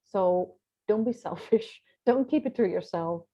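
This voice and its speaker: A woman's voice: mid-range voice, unhurried, dark tone, breathy edge, dull audio. A woman guest in an interview, giving upbeat, encouraging coaching advice over a video call. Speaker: guest, visibility strategist.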